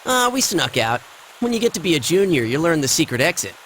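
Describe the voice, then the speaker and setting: A man's voice: on the high side, very animated, a little fast, fairly bright tone, a little hiss or hum, rough recording. A man voicing a cocky, teasing schoolboy in an anime game, casual and slangy. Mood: breezy, teasing. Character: cocky, teasing, gossipy teenage schoolboy pal.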